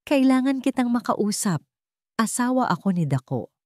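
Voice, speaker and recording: A woman's voice: a little high, sing-song, normal speed, a little mellow, no echo, voice pushed up. Woman playing determined betrayed wife, Filipino accent, controlled and direct, storybook dialogue, dry recording. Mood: direct, firm. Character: wronged wife, calm, steely and determined.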